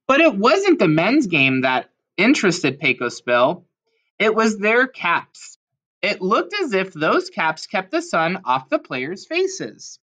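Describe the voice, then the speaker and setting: A woman's voice: mid-range voice, wildly swooping pitch, takes it slowly, a bit bright. A woman reading a children's storybook aloud expressively, with dramatic emphasis on key words. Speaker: storybook reader.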